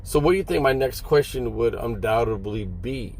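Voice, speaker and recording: A man, a long-haul trucker, talks casually and haltingly to his viewers from inside a parked truck cab, explaining things and checking in with short questions. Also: mid-range voice, expressive, a little mellow. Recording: vehicle noise behind the voice.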